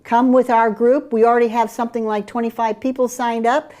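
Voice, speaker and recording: Woman, middle-aged, Dutch Caribbean accent, upbeat travel pitch, slightly high voice. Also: little pitch movement, a little fast, warm tone. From Curaçao. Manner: enthusiastic sales pitch with fillers. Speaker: travel program presenter. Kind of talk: promotional chat about group tours.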